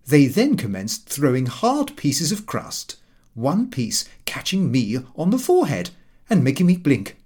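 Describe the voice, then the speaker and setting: A man's voice: on the high side, huge pitch swings, a little slow, darkish tone, slightly rough. A man narrating a comic first-person audiobook in a prim, precise, slightly aggrieved manner.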